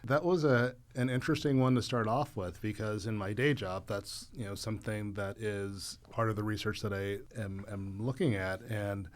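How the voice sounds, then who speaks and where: A man speaking as a podcast guest in a casual interview, measured and thoughtful. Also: fairly low pitch, steady tone. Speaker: podcast guest, cast member.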